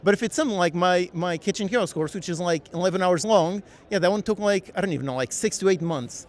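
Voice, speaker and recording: A man's voice: sits high, fast. A young man chatting casually as a guest, laughing often and trailing into fillers as he thinks aloud. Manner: laughs often, hesitant fillers, quotes himself. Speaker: game dev YouTuber, guest. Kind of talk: casual conversation.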